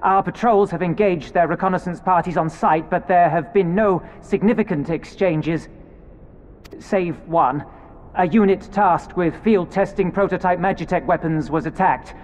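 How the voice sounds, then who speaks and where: An actor playing a dutiful male imperial soldier in a video game, delivering a crisp military report. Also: high-pitched, normal speed, a little mellow, slight background noise, close to an everyday voice. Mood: brisk report. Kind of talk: video game cutscene voice acting.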